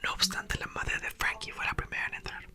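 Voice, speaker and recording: A man's voice: fairly quick, bright, forceful tone. Whispering man reading ASMR storybook. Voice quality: breathy, unvoiced whisper. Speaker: ASMR creator reading a book aloud.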